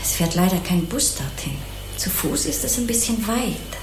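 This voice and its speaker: An actress playing a kindly, naive, chatty housewife in an obliging, rambling way in a German crime radio play. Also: female voice, mid-range voice, lively intonation, normal speed, reverberant, music in the background, close to an everyday voice. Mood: chatty, helpful. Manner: obliging, artless, rambling, sympathetic.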